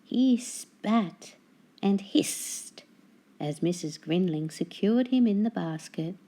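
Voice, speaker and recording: A woman reading a children's storybook aloud as narrator, with deliberate, dramatic phrasing. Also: medium pitch, lively intonation, dark tone.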